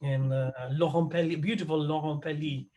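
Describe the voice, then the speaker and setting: A man, an opera tenor, talking as a guest on a video call, halting and thoughtful with many restarts. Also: fairly high pitch, steady tone, dark tone. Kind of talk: conversational interview over a video call.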